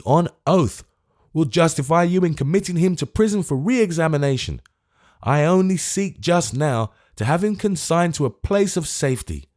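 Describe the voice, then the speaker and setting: Man doing a grave earnest gentleman, urgent, determined, expressive pitch, audiobook. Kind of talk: audiobook character voice.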